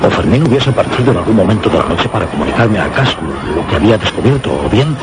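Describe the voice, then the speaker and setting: An actor with a Castilian Spanish accent playing a shaken man who recounts a supernatural horror in halting, dramatic radio narration. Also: lowish voice, animated, lightly acted. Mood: uneasy. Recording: very noisy radio drama soundtrack.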